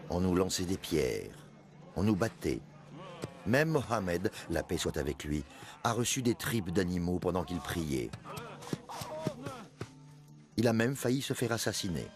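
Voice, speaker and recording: Deep-voiced solemn male storyteller. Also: noisy background, heavily acted. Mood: reverent. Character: male companion of the Prophet, solemn devout storyteller recalling hardship. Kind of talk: dramatized first-person narration for an animated documentary.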